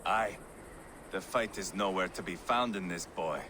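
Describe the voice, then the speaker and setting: An actor playing a brutal, foul-mouthed Viking warrior in a game cutscene, sneering and bullying with menace. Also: male voice, low voice, measured pace, noisy background. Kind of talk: video game cutscene acting.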